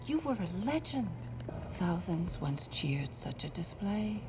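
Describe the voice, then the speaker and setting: An actress playing a refined, placating proprietress, formal, theatrical and soothing in screen drama dialogue. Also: female voice, fairly low pitch, big pitch swings, slow, noisy background. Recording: music behind film dialogue.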